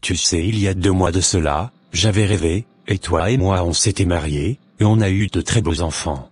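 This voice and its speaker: A man voicing a deep-voiced pious young man. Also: slightly rough. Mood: earnest. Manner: earnest, measured, pious.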